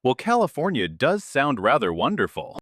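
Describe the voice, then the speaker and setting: A man's voice: mid-range voice, normal speed, dry recording. British guy cohosting, bouncy up and down voice, dry jokes, dry studio sound. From London. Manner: dry, playful storytelling with lively jokes. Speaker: podcast co-host. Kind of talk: scripted English-learning podcast chat.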